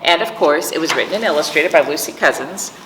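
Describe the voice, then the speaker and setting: A woman hosting an interactive storytime, talking directly and coaxingly to young children in an animated way. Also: low voice, fast, distant mic. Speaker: library storytime host. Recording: echoey reverberant room, faint background noise.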